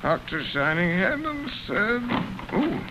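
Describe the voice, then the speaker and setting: Drunk posh gent. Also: male voice, sits high, sing-song, slow pace, bright, forceful tone, a little hiss or hum, heavily acted. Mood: rambling drunk. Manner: slurred, rambling, sing-song drunken talk. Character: drunk upper-class English gentleman, rambling. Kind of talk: vintage radio drama acting. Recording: dull lo-fi old radio recording.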